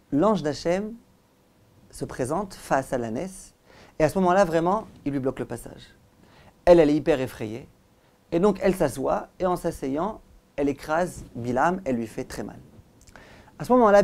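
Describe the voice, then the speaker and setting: A man, a rabbi, giving a religious lesson as animated storytelling, with dramatic pauses and direct address to his listeners. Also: on the high side. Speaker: rabbi, teacher.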